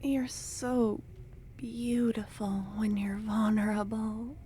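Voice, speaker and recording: A woman voicing an obsessive, possessive young cultist in an ASMR fantasy roleplay, speaking in a soft, breathy half-whisper that coaxes and soothes. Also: a little high, little pitch movement, slow pace, slight background noise. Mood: soothing, possessive.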